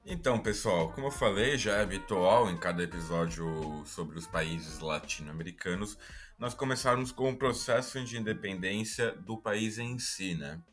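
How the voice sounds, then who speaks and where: A man's voice: sits low, normal speed, a bit bright, faint music behind. Chatty Brazilian man explaining history. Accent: Brazilian Portuguese. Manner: chatty, explanatory, self-deprecating asides. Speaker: podcast host. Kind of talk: casual history podcast explainer.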